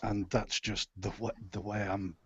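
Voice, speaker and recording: A man with a low, rough, gruff voice, a voice actor answering matter-of-factly in casual conversation. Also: level tone, measured pace, highs cut off, a little hiss or hum.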